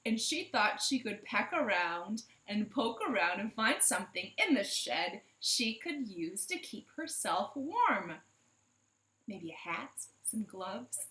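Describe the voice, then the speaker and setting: Animated, bright-voiced woman hosting children's storytime. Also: on the high side. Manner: playful, asks the child viewers questions. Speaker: library storytime host. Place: living room at home. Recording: roomy home echo.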